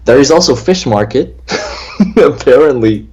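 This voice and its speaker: A man's voice: medium pitch, expressive, unhurried, warm tone, slightly rough, highs cut off. A twenty-year-old man co-hosting a casual livestream chat, rambling and often repeating himself. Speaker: co-host of a lucid dreaming stream. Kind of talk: casual livestream chat, reading viewer questions.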